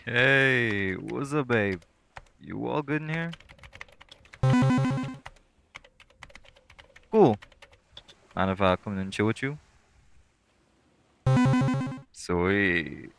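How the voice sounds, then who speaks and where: Man playing a low, gravelly, sweet boyfriend. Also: lively intonation, takes it slowly, heavily acted. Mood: relaxed, caring. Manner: laid-back, coaxing, slangy. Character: sweet, caring, teasing lightning elemental boyfriend. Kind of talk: intimate boyfriend audio roleplay, casual speech.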